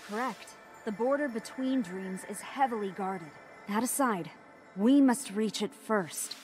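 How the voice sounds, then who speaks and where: A woman voicing a solemn, dignified mystical sheep guardian in a video game, formal and measured. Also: medium pitch, darkish tone, close to an everyday voice. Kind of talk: animated video game cutscene acting. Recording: music under the voice.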